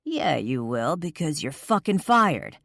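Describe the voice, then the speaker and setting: A woman's voice: low voice, big pitch swings, measured pace, no echo, studio quality, heavily acted. A woman doing an enraged rock-star man. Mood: raging. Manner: shouting, cursing, commanding. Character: furious possessive rock musician man.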